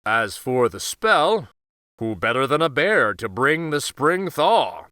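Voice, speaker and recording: Man doing a big, slow-talking storybook bear. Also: medium pitch, very animated, bright, forceful tone, dry recording, clean studio sound, heavily acted. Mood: easygoing. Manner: slow, drawn-out, unhurried. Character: big, easygoing, friendly male magical bear.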